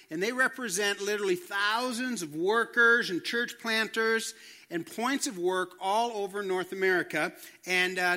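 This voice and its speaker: Upbeat high-voiced male host. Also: bright, forceful tone. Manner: upbeat, encouraging interviewer. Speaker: church host. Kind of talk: friendly interview.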